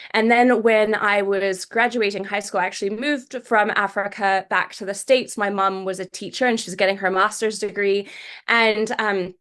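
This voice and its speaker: A woman's voice: medium pitch, a bit bright. A middle-aged woman from Malawi, a coach appearing as a podcast guest, telling her own story conversationally. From grew up in Malawi.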